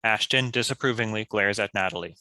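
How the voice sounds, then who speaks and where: Bright, dry-sounding male narrator. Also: lowish voice, a little fast, no room sound. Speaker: narrator reading stage directions. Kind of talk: scripted narration.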